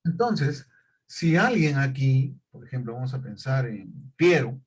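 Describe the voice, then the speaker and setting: A man's voice: medium pitch, very animated, measured pace, a little hiss or hum, poor audio. A middle-aged male teacher, lecturing a class and often checking in with questions.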